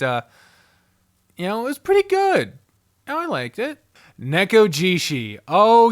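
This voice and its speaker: A man giving casual, off-the-cuff ranking commentary in an animated way, speaking straight to the things being ranked and swearing freely. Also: a little high. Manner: animated, addresses the games directly, swears freely. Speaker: video host ranking visual novels.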